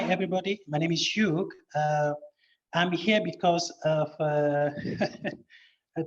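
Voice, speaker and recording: Casting: man from Cameroon, fairly high voice, halting student intro over a dull call line. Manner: hesitant, many uhs. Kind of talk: self-introduction on a video call. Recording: muffled video call audio.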